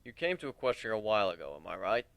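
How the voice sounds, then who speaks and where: A brooding, world-weary warrior creature, acted out by a man for a story reading. He speaks low and gravely, in terse, deliberate phrases, with a dry close sound. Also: sing-song, fairly bright tone, no echo.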